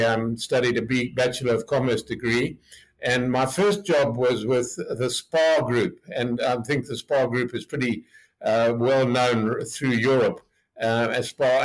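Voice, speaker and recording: A man's voice: medium pitch, low-quality recording. Man in his late seventies, rambling storyteller. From Zimbabwe. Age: 70s. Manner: rambling, relaxed storyteller with many fillers. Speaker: hotel group chairman, guest. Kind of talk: interview storytelling.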